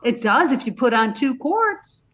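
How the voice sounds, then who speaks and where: A woman's voice: sits high, big pitch swings, unhurried, a bit bright, full character voice. Woman playing a sweetly dim young woman. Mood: breezy. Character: naive, scatterbrained young woman. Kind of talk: radio comedy acting. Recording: dull lo-fi recording.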